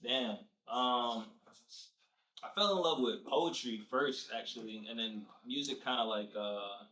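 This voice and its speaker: A man's voice: mid-range voice, a little slow, sharp tone. Laid-back young man, distant echoey mic. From Nigeria, raised in New Jersey. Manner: laid-back, slangy, laughs easily. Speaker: rapper guest. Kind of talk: casual interview chat. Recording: distant mic, roomy echo.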